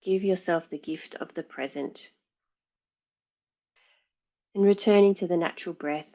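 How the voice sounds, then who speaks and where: A woman yoga teacher leading a guided meditation in a measured, soothing way, pausing between phrases. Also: lowish voice, mellow, lo-fi sound.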